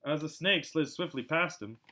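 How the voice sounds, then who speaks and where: A man reading a storybook aloud as an amateur narrator, in an expressive style. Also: fairly high pitch, moderate pace. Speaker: amateur audiobook reader.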